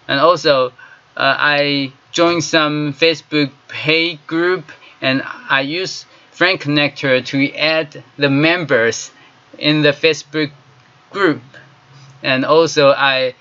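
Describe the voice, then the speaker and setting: Taiwanese-accented guy in his 40s, slow and bright-toned, lots of uh pauses, muffled webcam sound. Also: medium pitch. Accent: Taiwanese Mandarin. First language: Chinese. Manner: halting, with many "uh" pauses and simple English. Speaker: marketing consultant. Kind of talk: product testimonial.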